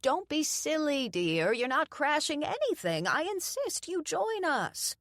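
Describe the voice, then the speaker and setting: A woman voicing a cheerful, fussing elderly woman who dotes on her guest, in a high, loud, slow, doting voice. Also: very animated, no echo, clean studio sound, heavily acted. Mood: insistent, bossy.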